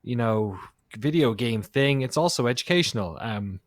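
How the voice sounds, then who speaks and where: A man's voice: medium pitch, expressive, moderate pace, no room sound, studio quality. A man in his thirties on a podcast, casually telling a story in an easygoing way, with small laughs. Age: 30s. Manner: easygoing, laughs a little as he talks. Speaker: podcast co-host.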